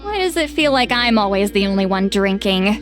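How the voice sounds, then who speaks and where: A woman's voice: mid-range voice, sing-song, fairly quick, soft background music, lightly acted. A woman voicing a worldly, wry granny figure in a video game, conversational and faintly exasperated.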